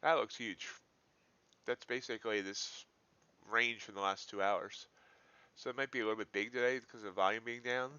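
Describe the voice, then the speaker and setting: A man's voice: on the low side, steady tone, normal speed, pushed and bright, smooth, no room sound. A man hosting a live trading stream, thinking aloud in casual, folksy commentary. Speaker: live-streaming futures trader, host. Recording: dull, lo-fi livestream audio.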